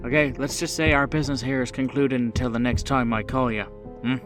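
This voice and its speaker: A man voicing a gruff mob boss in a tabletop game, businesslike and dismissive, with old-fashioned slang. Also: medium pitch, brisk, over music, natural voice. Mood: irritated, guarded. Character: gruff male mob boss and bar owner, needling, businesslike.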